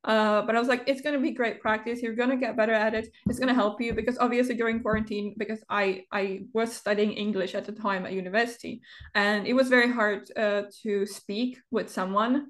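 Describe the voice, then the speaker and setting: A woman, an English speaker, talking as an interview guest, often pausing and searching for words with fillers. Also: on the high side, steady tone. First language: Czech. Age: 20s. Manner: hesitant, pauses to find words, frequent fillers. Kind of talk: casual interview chat.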